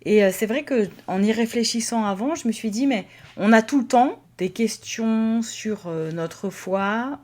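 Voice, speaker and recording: A woman pastor co-hosting a podcast, speaking in a hesitant way with many fillers and occasional soft laughs. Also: a little high, a little slow, few pauses. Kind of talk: casual conversation.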